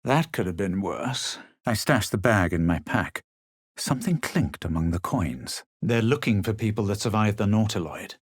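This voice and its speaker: A low, husky, haughty fantasy hero. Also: male voice, lively intonation, no room sound, studio quality. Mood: grave, measured. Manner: measured, dramatic, superior. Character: self-assured, haughty male fantasy adventurer hero. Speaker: video game voice actor. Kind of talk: scripted game character lines, first-person narration and spell incantations.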